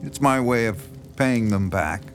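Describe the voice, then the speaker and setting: Low-voiced, slyly sinister horror writer. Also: male voice, expressive, unhurried, over music. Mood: insinuating, flattering. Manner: folksy, knowing, insinuating. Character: smooth-talking, subtly sinister, self-assured male horror writer. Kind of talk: naturalistic audio drama dialogue.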